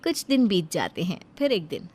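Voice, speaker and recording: A woman's voice: fairly low pitch, big pitch swings, moderate pace, a little mellow, breathy edge. Woman narrating a children's story.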